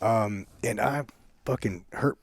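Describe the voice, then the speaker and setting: A man's voice: on the low side, slow, no room sound, studio quality. A man hosting a podcast in a laid-back, joking way, swearing casually. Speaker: podcast host.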